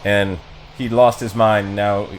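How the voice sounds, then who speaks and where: A man's voice: lowish voice, flat delivery, slow, a little hiss or hum. A man giving casual live commentary as a game streamer.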